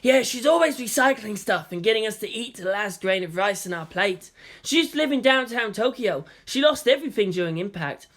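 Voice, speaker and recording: A narrator voicing awed schoolchildren swapping battle stories in an animated, slangy way. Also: medium pitch, a little fast, voice pushed up. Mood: excited.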